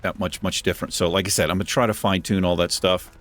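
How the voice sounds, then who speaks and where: A man with a deep voice hosting a gaming channel, chatting casually and self-deprecatingly while he plays. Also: fairly even intonation, quick pace, a bit bright, faint music behind. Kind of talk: casual gameplay commentary. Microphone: desk microphone.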